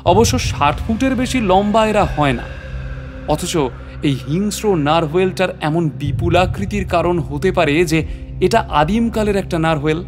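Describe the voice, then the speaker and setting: একজন পুরুষ অভিনেতা প্রাকৃতিক ইতিহাসের অধ্যাপকের চরিত্রে নাটকীয়, রহস্যময় ভঙ্গিতে নিজের অভিযানের গল্প বলছেন।. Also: male voice, high-pitched, normal speed, music in the background, natural voice. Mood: scholarly, intrigued. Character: male natural history professor recounting his adventure. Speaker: narrator. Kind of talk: dramatic scripted story narration.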